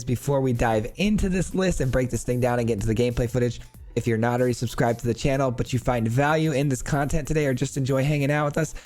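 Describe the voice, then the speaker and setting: A man with a hoarse voice from illness, casually breaking down a card game deck for his channel viewers in an upbeat, chatty way. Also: mid-range voice, darkish tone. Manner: upbeat, thankful, chatty with fillers. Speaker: card game video host.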